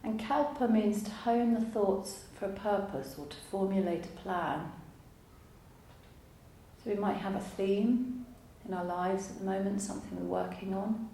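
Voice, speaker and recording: A woman teaching yoga nidra, guiding a relaxation meditation in a calm, soothing, unhurried way with long pauses. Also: mid-range voice. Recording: roomy echo, faint background noise.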